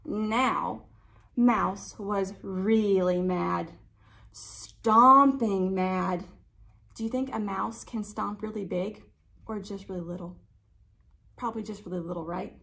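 A young adult woman reading a children's picture book aloud with expressive, drawn-out emphasis, pausing to put questions to young listeners. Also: mid-range voice, gravelly. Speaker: library storytime reader.

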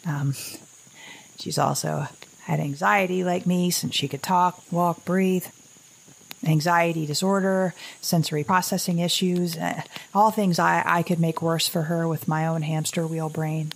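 A woman playing an anxious, wry middle-aged mother surviving after an apocalypse, in a halting, rambling audio drama monologue. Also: on the low side, fairly even intonation, creaky, poor audio. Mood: tender, wry.

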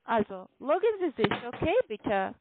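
A woman with a foreign accent speaking halting, non-native German on the phone, insistently repeating instructions as a call centre agent. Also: a little high, sing-song, background noise. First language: not German. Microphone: telephone. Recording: tinny, narrow phone line.

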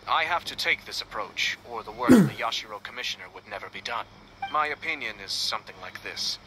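An actor playing a composed, courteous young nobleman in a video game, speaking in a formal, measured way. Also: mid-range voice, sing-song, pushed and bright, a little hiss or hum, low-quality recording.

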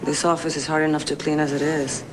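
Actress as low-voiced wry American woman. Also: flat delivery, fast, close to an everyday voice. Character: wry, caring young woman working in an office. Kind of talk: naturalistic television drama acting. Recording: noisy television drama soundtrack.

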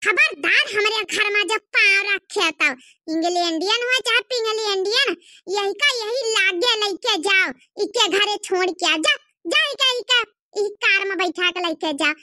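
A woman voicing a loud, indignant village mother-in-law in a comedy cartoon, scolding and exclaiming with an Awadhi accent. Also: very high-pitched, unhurried, no echo, studio quality, full character voice. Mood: outraged. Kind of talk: comedy cartoon voice acting in dialect.

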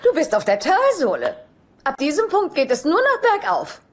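A woman's voice: sits high, huge pitch swings, normal speed. An actress playing a self-centred older sitcom mother, saccharine, theatrical and condescending. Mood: cutting. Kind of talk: German-dubbed sitcom acting.

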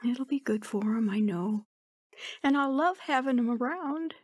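A woman's voice: on the high side, lively intonation, measured pace, breathy edge, dry recording, studio quality. An actress playing a caring, concerned grandmother in an audio drama. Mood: concerned, accepting.

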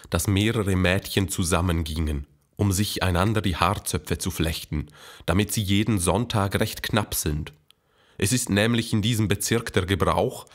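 A man playing a cheerful, observant eighteenth-century manservant, telling his travel diary plainly in a radio play. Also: deep voice.

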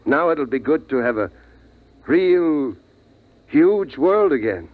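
An actor playing a weary, reassuring father in a 1950s radio drama, grave, measured and halting. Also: fairly high pitch, animated. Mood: resolute, reflective. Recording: tinny 1950s radio recording.

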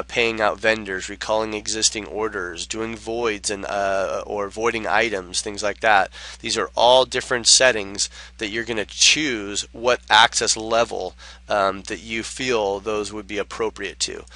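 A man narrating an unscripted software tutorial in a halting, explanatory way, speaking close to the mic as a trainer. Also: on the low side, no echo.

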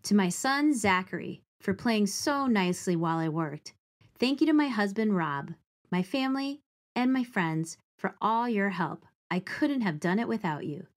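A woman's voice: mid-range voice, lively intonation, normal speed. Woman reading a children's storybook aloud.

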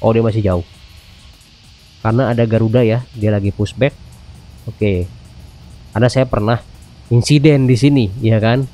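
Mellow Indonesian man casually narrating gameplay. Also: on the low side, steady tone, normal speed, pauses often, soft background music. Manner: chatty, informal, slipping into English radio-style phrases. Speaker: gaming video host. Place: indoors at a computer, music behind.

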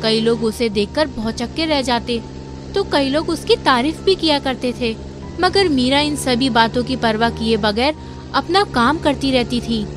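Woman narrator for a moral story, high register, scripted read over music. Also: measured pace. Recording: faint music behind.

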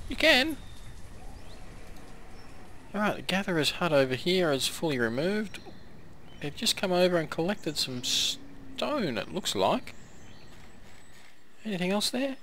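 A man giving casual commentary while playing a strategy game, hoarse and coughing now and then as his voice wears out, chatting to his villagers as he goes. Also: high-pitched, very animated, a little slow, pauses often, pushed and bright, a little hiss or hum. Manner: chatty, talks to the game's villagers. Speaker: gameplay commentator. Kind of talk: casual let's-play commentary.

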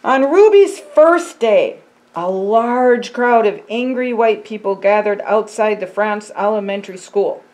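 A woman in her sixties who is a pastor, reading a picture book aloud in simple, deliberate phrases and explaining it as to a child. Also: mid-range voice, expressive, darkish tone. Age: 60s. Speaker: pastor and storytime reader. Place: indoor storytime set by a fireplace.

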